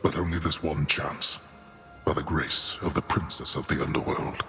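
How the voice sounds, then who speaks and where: Very deep, stern Underworld king. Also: flat delivery, moderate pace, exaggerated voice. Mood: stern, grudging. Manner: stern, commanding. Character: stern, imposing Underworld king, mature father, gruff and commanding. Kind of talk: video game voice acting. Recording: loud music over the voice.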